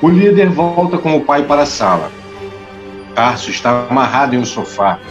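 A man's voice: medium pitch, big pitch swings, normal speed, muffled recording. A man narrating a scripted audio drama, reading out the scene action. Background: clear music.

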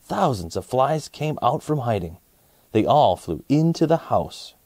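Unhurried man reading to children, very animated pitch. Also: no echo. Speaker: host of a children's story channel. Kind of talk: scripted storybook narration for children. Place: small indoor set, dry sound.

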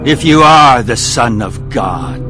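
A man's voice: medium pitch, lively intonation, music in the background. An actor playing the devil as a sly, theatrical tempter in a dramatised audio Bible, drawing out his words. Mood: sly, taunting. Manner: drawn-out, theatrical.

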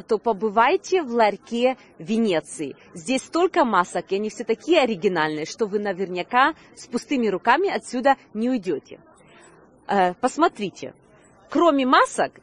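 A woman's voice: fairly high pitch, breathy edge. A woman in her thirties presenting a news report on location outdoors into a handheld microphone, with slightly hesitant delivery. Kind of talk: on-location reporting, semi-scripted presenting to camera. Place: outdoor Christmas market.

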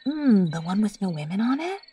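A woman's voice: mid-range voice, very animated, a little fast, distant mic, over music, natural voice. An actress playing a sassy teenage student who teases her friends in a dry way. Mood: teasing. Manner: dry, teasing. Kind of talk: audio drama acting.

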